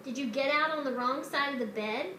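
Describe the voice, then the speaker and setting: A woman voicing a young boy bear in a storybook, cheeky and quick to retort. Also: high-pitched, sharp tone, reverberant, a little hiss or hum. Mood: puzzled, teasing. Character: teasing young boy bear, needling his grumpy sister.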